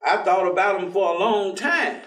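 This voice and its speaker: Heard from a distance in a roomy theater, an actor plays a folksy, good-humored man of faith on stage. His voice sits high and he talks in an easy vernacular, teasing and questioning. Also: moderate pace, a bit bright. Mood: thoughtful. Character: folksy, devout, good-humored man gently challenging a gloomy professor. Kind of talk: staged play reading. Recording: echoey theater, distant microphone.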